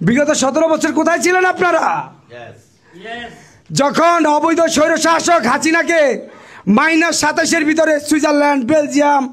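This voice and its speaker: A man with a Bangladeshi accent giving a loud, declamatory political speech in a challenging way. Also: very high-pitched, steady tone, normal speed, long pauses. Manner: declamatory, challenging, rhetorical questions. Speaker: political party activist.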